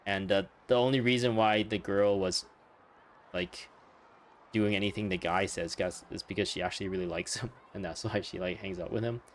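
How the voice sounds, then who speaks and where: A man's voice: on the low side, fairly even intonation, moderate pace, a little hiss or hum. A man giving casual live gameplay commentary as a streamer, rambling and chuckling. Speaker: game streamer. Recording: clear music behind the voice.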